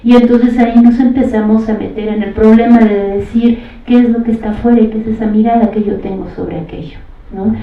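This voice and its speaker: A woman who teaches, speaking on a discussion panel in a deliberate, reflective way, thinking aloud. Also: on the high side, fairly even intonation. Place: roomy panel venue. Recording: echoey, distant mic, dull.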